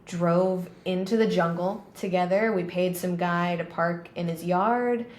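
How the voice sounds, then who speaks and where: A woman's voice: lowish voice, a little slow, darkish tone. A woman in her thirties, co-hosting a podcast, telling stories in a chatty, casual way with many fillers. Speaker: podcast co-host. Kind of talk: casual conversation. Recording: roomy with faint background noise.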